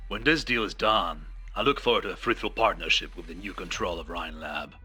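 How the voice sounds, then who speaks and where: A man acts out a grim colonel in a game drama. The voice is deep and husky with a very hard, forceful edge, and every line is formal and ominous. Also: a little fast, exaggerated voice. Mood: grave, ominous. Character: grave, forceful male military colonel, warning and scheming. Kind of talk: amateur fan dub game drama acting.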